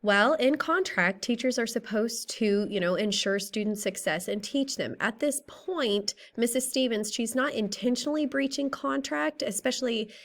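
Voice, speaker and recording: A woman in her thirties with a slightly raspy, tired voice, methodically presenting a school case study for a class. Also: on the high side, moderate pace, hardly any pauses. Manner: steady, methodical, works through a list of questions. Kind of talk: reading a prepared class presentation.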